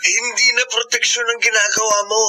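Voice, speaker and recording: A man's voice: very high voice, little pitch movement, normal speed, sharp tone, full character voice. An actor playing a middle-aged Filipino father in a radio drama, reasoning firmly in an earnest, emphatic way. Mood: firm, pleading.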